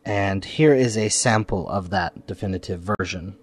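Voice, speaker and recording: A man's voice: deep voice, normal speed, no echo. A man hosting a podcast, giving spoken introductions and announcements. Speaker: podcast host.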